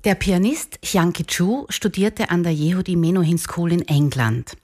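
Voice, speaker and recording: A woman presenter with a fairly low voice. She hosts in German-style broadcast fashion, giving scripted introductions and putting questions to a guest. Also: lively intonation, normal speed, darkish tone, faint music behind. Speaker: host.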